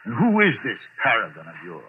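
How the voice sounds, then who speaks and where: A man's voice: on the low side, wildly swooping pitch, brisk. An actor playing a pompous, snobbish middle-aged businessman with a haunted streak, clipped and imperious, in old-time radio drama style. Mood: haughty, irritated. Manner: imperious, clipped, self-important. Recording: noisy, tinny vintage radio recording.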